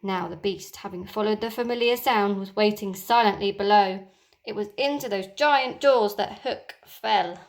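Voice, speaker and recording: A woman teacher reading a children's storybook aloud, with expressive, dramatic storytelling. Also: mid-range voice, normal speed.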